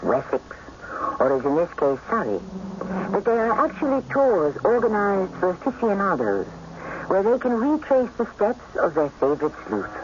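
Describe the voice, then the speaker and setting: A man reading scripted narration that introduces a scene for a radio drama. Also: high-pitched. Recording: muffled radio recording, faint noise.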